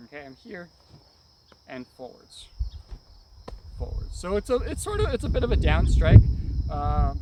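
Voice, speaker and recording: Guy teaching martial arts moves, takes it really slow, clipped phrases, lots of background noise like outside with bugs. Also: high voice, sing-song. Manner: short clipped phrases with frequent check-in tags. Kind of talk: hands-on technique demonstration, instructional.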